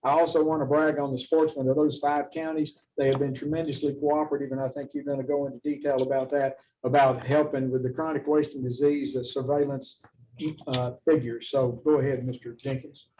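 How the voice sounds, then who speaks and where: A man's voice: medium pitch, flat delivery, fairly quick, dark tone. A man chairing a formal public meeting as commission chair, speaking in a courteous, procedural manner. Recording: distant mic, faint background noise.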